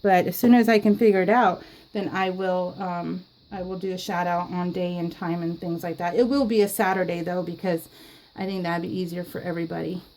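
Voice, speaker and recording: A woman chatting casually to her viewers while she scrapbooks, giggling often and filling pauses with ums and restarts. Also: fairly low pitch, steady tone, a little mellow, poor audio. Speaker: scrapbooking YouTuber. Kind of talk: casual chat while crafting.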